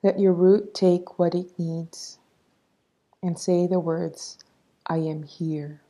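Slow, soft-voiced woman guiding meditation. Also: lowish voice, fairly even intonation. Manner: soothing, measured, instructing. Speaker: meditation guide. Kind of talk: guided meditation narration.

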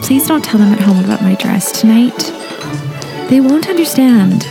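A woman's voice: a little high, animated, normal speed, vocal fry. A young woman playing a genteel, earnest teenage girl dressed up for a party in an audio play. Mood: earnest, weary. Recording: music playing behind the voice.

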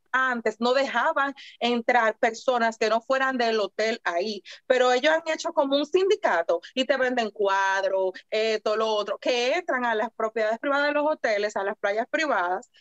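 A woman's voice: fairly high pitch, fairly bright tone, no room sound, highs cut off. A woman with a Dominican Spanish accent, talking and explaining in a casual panel chat. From Dominican Republic.

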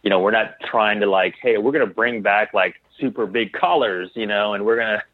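Halting man on a tinny phone line. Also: fairly low pitch, dry recording. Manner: halting, tentative, trails off and restarts. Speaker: interview guest, clothing designer. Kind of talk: casual podcast interview.

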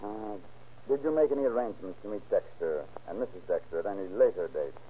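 An actor playing a stern, insistent prosecutor with a husky voice, formally questioning in an old radio crime drama. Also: male voice, fairly low pitch, expressive, quick pace, mellow, slight background noise, lightly acted. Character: stern, pressing courtroom prosecutor questioning a witness. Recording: muffled old radio recording.

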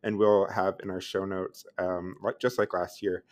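A podcast co-host from Pennsylvania chatting casually, direct and relaxed, with many fillers. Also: deep voice, normal speed.

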